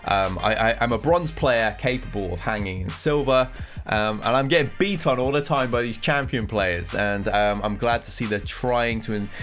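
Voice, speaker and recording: A man's voice: mid-range voice, moderate pace, a bit bright, soft background music. A man with a cold and a nasal voice, giving casual commentary on game news as a gaming content creator. He rambles and thinks aloud as he reacts.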